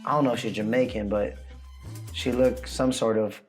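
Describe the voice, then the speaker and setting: A man tells a loose, animated story on a casual show, swearing freely and acting out what people said. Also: mid-range voice, moderate pace, faint music behind. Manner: animated, loose, swears a lot, acts out quotes. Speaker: co-host telling a story.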